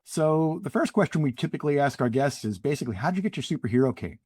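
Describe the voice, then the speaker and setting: A man's voice: a little high, expressive, fast, darkish tone, husky, no echo, studio quality. A man hosting a podcast, introducing a guest in a friendly manner and then asking questions. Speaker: podcast host.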